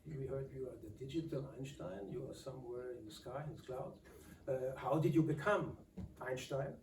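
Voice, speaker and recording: An older man, moderating a public talk in a lecture hall in a halting, pausing way. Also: mid-range voice, creaky, darkish tone, slight background noise. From Austria. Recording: echoey hall from far off.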